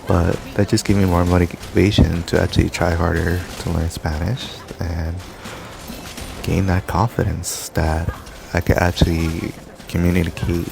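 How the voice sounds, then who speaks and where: A man's voice: low-pitched, flat delivery, a little slow, gravelly, over music. A young man rambling casually over gameplay, drifting through loose stories full of fillers. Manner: loose, meandering, filler-heavy storytelling. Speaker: gaming commentator. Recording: background noise.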